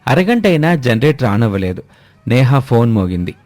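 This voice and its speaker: A man's voice: mid-range voice, very animated, a little fast, darkish tone, no echo. A man narrating a scripted moral story as its storyteller.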